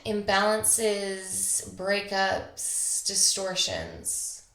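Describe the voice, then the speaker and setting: A woman with a slightly raspy voice full of vocal fry, recorded in an echoey room. She hosts a spiritual podcast alone, speaking in a confiding, unscripted way. She leaves thoughtful pauses as she goes. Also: mid-range voice, very animated, fairly bright tone. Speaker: podcast host. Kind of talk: intimate solo spiritual podcast talk. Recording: echoey, roomy sound.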